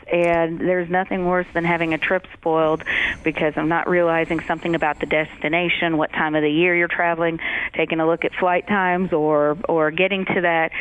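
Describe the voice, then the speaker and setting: Smooth-voiced woman on a tinny radio line. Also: on the low side, no echo. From Georgia, US. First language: German. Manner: chatty, many fillers and restarts. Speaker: travel agency owner, guest. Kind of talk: radio interview, casual.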